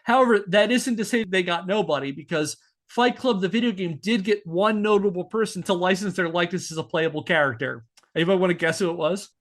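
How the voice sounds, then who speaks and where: A man's voice: sits high, fast talker, fairly bright tone. A man hosting a podcast, reading scripted narration with casual asides in a wry, emphatic storytelling manner. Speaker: podcast host.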